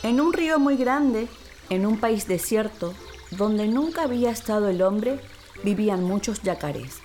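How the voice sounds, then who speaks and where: A woman narrating a scripted children's story in an expressive, theatrical way. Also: medium pitch, unhurried, soft background music.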